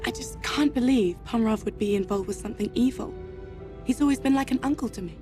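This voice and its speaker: Breezy, confident socialite played by an actress, music behind. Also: female voice, a little high, fast talker, close to an everyday voice. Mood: doubtful, wry. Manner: breezy, assertive, teasing. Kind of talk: cinematic spy game acting.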